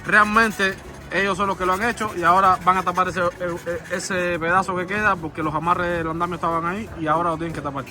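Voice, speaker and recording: A man's voice: high-pitched, little pitch movement, brisk. A man filming a casual interview with coworkers on a scaffolding outdoors, chatty, laughing and repeating himself. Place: outdoors on scaffolding high above a city street.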